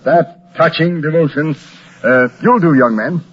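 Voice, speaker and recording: A man's voice: fairly high pitch, a little slow, husky. An actor playing a pompous, genial con man posing as a colonel, with flowery, hesitating speech, in a 1940s radio drama. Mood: smug, genial. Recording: noisy, dull old-time radio recording.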